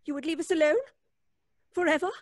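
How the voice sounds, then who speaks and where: An actress plays a prim, high-strung woman in an old-time radio thriller. Her voice is high and recorded dry. She speaks emphatically, distressed and indignant, in exclamatory period style. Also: steady tone, fairly quick, no room sound, natural voice. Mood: wary, alarmed.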